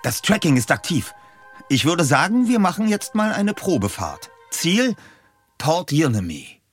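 An actor playing an earnest, eager young detective in radio play dialogue. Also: male voice, fairly high pitch, big pitch swings, measured pace, husky, soft background music, heavily acted. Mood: keen.

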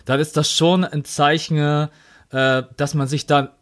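Frank man with a slightly raised pitch. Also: level tone, takes it slowly. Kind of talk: casual podcast discussion.

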